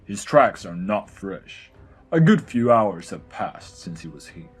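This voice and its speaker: A calm druid in the shape of a great cat, acted by a man in a fantasy audio drama. The voice is fairly low and husky. Words come deliberately, with faint music behind. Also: full character voice. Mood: calm, knowing. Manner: measured, deliberate. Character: calm male druid speaking in feline form.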